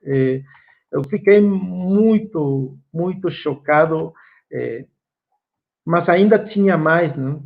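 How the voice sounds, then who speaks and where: Slow Guatemalan man over tinny call audio. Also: fairly high pitch, animated, creaky. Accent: Guatemalan Spanish. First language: Spanish. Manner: slow and reflective, with frequent "é" and "né" fillers. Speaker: lecturer. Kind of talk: online course lecture.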